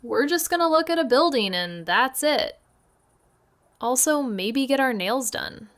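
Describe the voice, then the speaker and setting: An actress playing an anxious young woman sleuth in an audio drama, halting and nervously self-justifying as she feigns casual innocence. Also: high voice, animated, fairly bright tone. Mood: mock casual. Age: young adult. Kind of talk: naturalistic audio drama banter.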